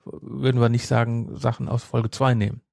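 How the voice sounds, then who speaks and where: A man's voice: medium pitch, flat delivery, moderate pace, creaky, darkish tone, no echo, studio quality. A man chatting casually as a podcast co-host, rambling and repeating his phrases. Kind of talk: casual chat.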